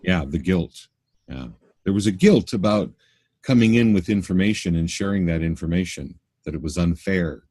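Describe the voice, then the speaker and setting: A man's voice: deep voice, normal speed, a little mellow, breathy edge, no room sound, studio quality. A middle-aged man from Canada, a spiritual workshop teacher, answering interview questions earnestly, often restarting his sentences.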